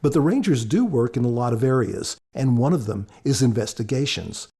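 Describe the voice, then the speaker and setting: Man, mid-pitched, soft dark tone, husky, author giving scripted commentary. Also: lively intonation, a little fast. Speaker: author, podcast guest.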